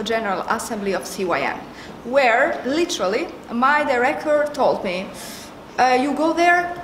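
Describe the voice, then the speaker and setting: A woman's voice: high-pitched, fairly bright tone. A woman with an Albanian accent telling a personal anecdote with emphasis, as a member of a network. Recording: echoey room, faint background noise.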